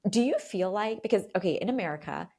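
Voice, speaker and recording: A middle-aged woman talking as a guest in an interview, animated and chatty, full of "like" and repeated words for emphasis. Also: mid-range voice, quick pace. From London. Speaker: guest expert. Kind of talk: casual interview chat.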